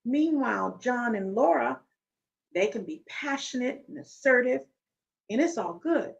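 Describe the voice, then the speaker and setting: A woman with a deep, loud voice giving an educational talk, emphatic and often checking in with "okay?". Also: lively intonation, a little slow, creaky. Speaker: video commentator. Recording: muffled, distant video playback.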